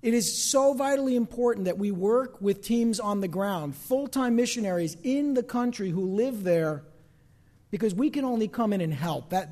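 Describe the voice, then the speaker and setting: Pastor, male, notably high voice, earnest sermon delivery. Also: mellow. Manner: earnest, exhorting.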